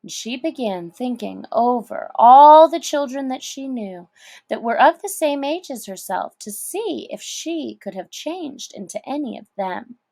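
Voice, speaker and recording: A woman giving a deliberate, expressive reading of a children's story as narrator. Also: high voice, vocal fry, dry recording. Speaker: storybook reader.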